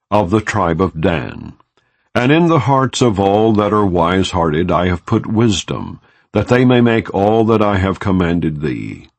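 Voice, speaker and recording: A man narrating an audiobook of scripture in a solemn, measured, formal manner. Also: fairly low pitch, no room sound. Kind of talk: scripture reading, non-dramatized audiobook narration.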